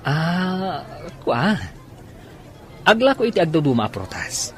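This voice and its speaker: A man's voice: fairly high pitch, sing-song, slow pace, crowd noise. A man playing a nosy, flirtatious male cousin in an Ilocano radio drama, with an Ilocano accent. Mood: flirtatious, awkward.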